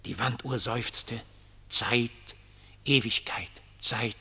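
An actor in a German radio play playing a rambling, irreverent homeless dreamer with a theatrical, drawn-out storytelling manner. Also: male voice, mid-range voice, steady tone, bright, forceful tone, slightly rough, exaggerated voice. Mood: dreamy, storytelling. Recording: long reverberant hall sound.

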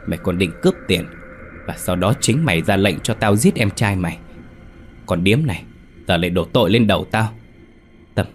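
A man's voice: on the low side, a little hiss or hum, natural voice. A man voicing a cowardly, guilty husband who trembles and pleads for mercy in a horror story.

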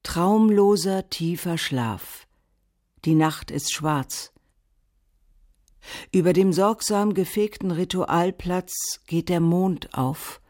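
A woman with a standard German accent narrates a scripted literary radio piece in a solemn, deliberate manner. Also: fairly low pitch, warm tone.

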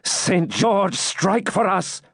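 A man acts out a wounded storybook knight, eager for battle and full of pride. The delivery is raised, declamatory and insistent, with lively swings of pitch and old chivalric diction. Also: normal speed, no echo, studio quality. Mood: urgent. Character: wounded male medieval knight, proud, impatient, eager for battle.

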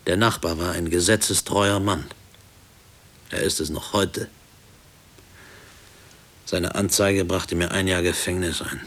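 A brooding middle-aged man with a very low, rough-edged voice. He gives a deliberate, matter-of-fact inner monologue in a radio drama. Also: steady tone, a bit bright. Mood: sombre, bitter. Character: brooding married man haunted by wartime prison. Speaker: lead actor and narrator in a radio play. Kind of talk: introspective first-person radio drama monologue.

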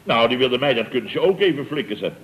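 An actor playing a pompous, boastful boss in an old Dutch radio comedy, blustering and sarcastic. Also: male voice, medium pitch, lively intonation, fairly quick, fairly bright tone, close to an everyday voice. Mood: scornful, smug. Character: pompous, boastful, self-justifying male boss of a building firm. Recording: dull old radio recording.

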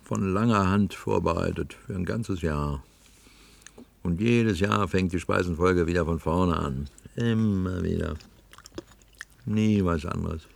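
Gravelly, low-voiced brooding worker. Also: male voice, unhurried, full character voice. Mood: brooding, resigned. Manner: musing, resigned. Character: brooding, weary male worker confined to a cellar control room. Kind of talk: German science-fiction radio drama acting.

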